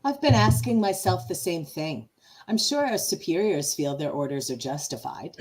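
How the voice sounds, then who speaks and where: A woman's voice: lowish voice, lively intonation, moderate pace, darkish tone, lightly acted, rough recording. A woman playing a calculating male starship officer in an amateur podcast radio play, with theatrical delivery. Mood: measured, persuasive. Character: male starship first officer, smooth, calculating military man.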